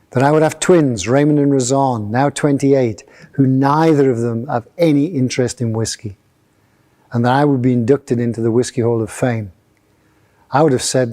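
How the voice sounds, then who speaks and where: Guy in his 60s giving a heartfelt thank-you, warm low tone. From Yorkshire, England. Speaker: whisky distiller. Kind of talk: recorded acceptance speech.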